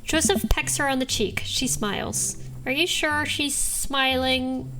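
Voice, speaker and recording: A woman's voice: high-pitched, unhurried, low-quality recording. A woman game streamer reading story text aloud, with playful, sardonic and casual asides. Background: loud game music.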